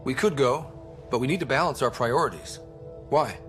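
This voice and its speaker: Young male team leader man acting, casual and dry, medium pitch, soft score underneath. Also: moderate pace, soft background music, close to an everyday voice. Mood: easygoing, confident. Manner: casual, level-headed, dry. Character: young easygoing male human explorer leader. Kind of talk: video game cutscene acting.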